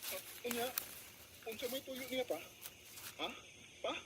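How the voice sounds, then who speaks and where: High-pitched young male laborer, acted by a man, Cebuano accent, humble, anxious, radio drama, noisy outdoor insect ambience. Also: a little slow. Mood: worried. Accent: Cebuano Filipino. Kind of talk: Philippine radio drama acting.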